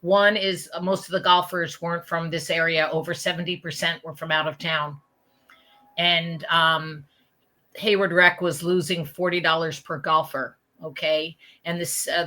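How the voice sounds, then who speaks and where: A woman's voice: fairly low pitch, pushed and bright. A woman speaking as a guest in an interview, conversational and emphatic, often checking that listeners follow. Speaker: interview guest, open space campaigner.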